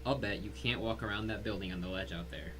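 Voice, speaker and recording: Over faint noise and hum comes a grim, vengeful man, played by an actor. His voice is fairly low and sharp-edged, and he delivers audio drama lines coldly and quietly. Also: fast talker, natural voice. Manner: cold, quiet, flat delivery. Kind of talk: dramatic audio story acting.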